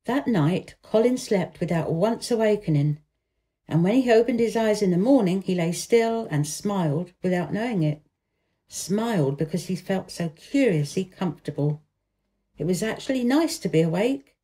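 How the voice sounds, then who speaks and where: Mellow-voiced woman narrating a storybook. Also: mid-range voice. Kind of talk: scripted narration of a children's novel.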